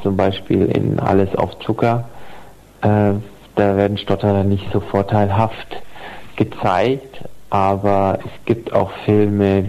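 A man giving his opinion as a listener contributor, thoughtful and halting with many fillers. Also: lowish voice, creaky, warm tone. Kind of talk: recorded listener statement. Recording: dull lo-fi home recording.

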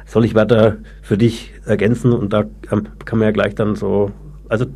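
A man who stutters, chatting casually in short, broken phrases with pauses. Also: fairly low pitch, flat delivery, creaky, warm tone, husky. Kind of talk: casual conversation.

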